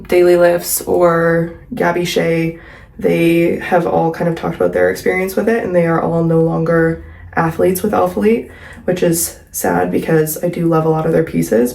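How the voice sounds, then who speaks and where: A woman's voice: fairly low pitch, vocal fry, darkish tone. Congested young woman chatting casually. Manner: chatty, rambling, frequent "like" and "um". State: congested, recovering from a cold. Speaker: vlogger and pilates instructor. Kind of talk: casual vlog talk to camera. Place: at home.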